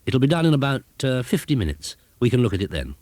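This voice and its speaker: A man voicing a bossy but kindly woman witch in a children's story, brisk and matter-of-fact. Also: medium pitch, big pitch swings, a little mellow, no echo, voice pushed up, natural voice. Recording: hissy 1980s cassette tape transfer.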